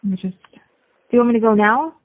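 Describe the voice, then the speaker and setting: A woman in a casual private phone call, talking in a worried, halting, questioning way. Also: on the high side. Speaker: caller talking with a jail inmate. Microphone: telephone. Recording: tinny, band-limited phone line.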